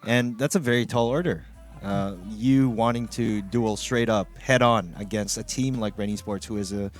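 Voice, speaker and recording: A man giving live esports analysis as co-caster, measured, hesitant and agreeable in manner. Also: on the low side, fairly even intonation. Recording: music under the voice.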